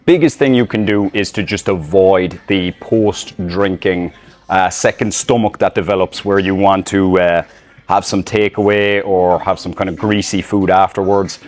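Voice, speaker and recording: Irish male fitness coach, deep low voice, casual selfie-video advice, loose and filler-heavy. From Ireland. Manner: loose, rambling, many fillers and restarts. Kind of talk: casual talking-to-camera advice. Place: outdoors in public, filming selfie-style. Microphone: phone camera in selfie mode.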